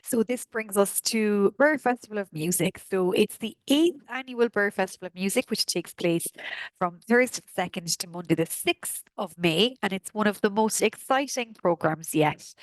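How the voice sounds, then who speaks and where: A woman from Kerry with a Kerry Irish accent, hoarse from a sore throat, chattily walking through an events newsletter. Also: mid-range voice, normal speed, no room sound, poor audio. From Kerry, Ireland. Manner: chatty, friendly, frequent ums and uhs. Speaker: arts office presenter.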